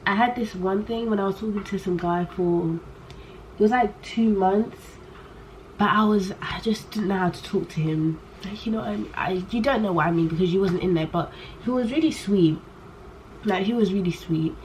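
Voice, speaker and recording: A young woman talks straight to the camera, loud and unfiltered. She keeps restarting and wandering off her point. Her voice sits in a middle pitch, over a faint hiss from poor audio. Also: normal speed. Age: young adult. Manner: rambling and candid, trails off and restarts, loud on purpose. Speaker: vlogger. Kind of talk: casual chat to camera.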